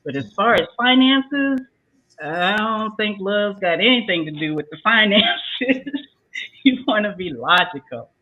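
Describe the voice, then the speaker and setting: A woman's voice: medium pitch, lively intonation, measured pace. An older woman on a talk show panel giving casual advice and acting out both sides of a conversation as she tells a story. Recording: tinny phone-like sound.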